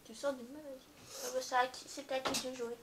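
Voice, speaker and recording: A woman's voice: sits high, level tone, very noisy. A middle-aged woman hosting a casual vlog, playful and hesitant, humming and singing little bits as she plays. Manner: playful, slow and hesitant, hums and sings bits. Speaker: vlogger host. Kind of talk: casual play-along while gaming.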